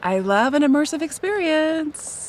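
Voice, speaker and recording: A woman's voice: high voice, big pitch swings, normal speed, slight background noise. An actress playing a flippant young woman on a date, teasing and casual, in natural romcom audio drama dialogue. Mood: frank, playful.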